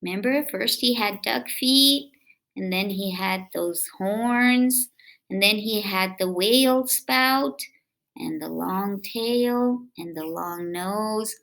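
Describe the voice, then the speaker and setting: A woman doing an expressive picture-book read-aloud for children, drawing out words and adding chatty questions to her young listeners. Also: mid-range voice, takes it slowly, vocal fry, low-quality recording.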